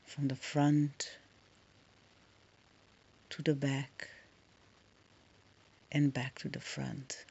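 A woman leading a guided meditation in a soft, soothing, breathy voice, leaving long pauses between short phrases. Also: rumbling low, flat delivery, lo-fi sound.

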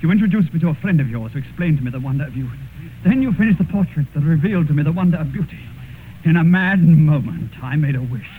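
Man acting a decadent gentleman, scratchy thin old radio. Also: fairly high pitch, lively intonation, quick pace, phone-quality sound, natural voice. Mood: bitter. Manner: theatrical, taunting. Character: vain, decadent, cynical gentleman with a dark secret. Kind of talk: 1950s radio drama acting.

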